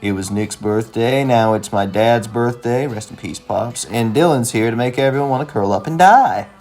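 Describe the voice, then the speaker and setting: A man voicing a wry, plain-spoken, skeptical young man in an animated comedy-drama, with a deadpan, reasoning delivery. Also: medium pitch, normal speed, faint music behind, close to an everyday voice.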